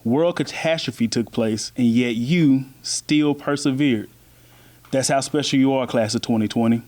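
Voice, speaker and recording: A man's voice: medium pitch, a little slow, a little mellow. A man giving a heartfelt, encouraging address to a graduating class.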